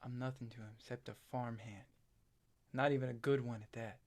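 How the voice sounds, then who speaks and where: A man playing a haunted, self-doubting farm boy in a stage play, halting and plain-spoken. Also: mid-range voice, fairly even intonation, close to an everyday voice. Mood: dejected.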